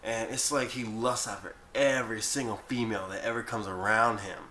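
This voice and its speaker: A man's voice: on the low side, steady tone, brisk, pushed and bright. A man giving informal, opinionated commentary as an internet video commentator.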